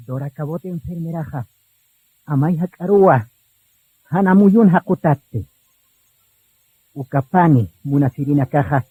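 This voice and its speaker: Husky man narrating, narrow phone-like sound. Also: a little high. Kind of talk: solemn radio drama narration. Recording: narrow phone-like radio recording.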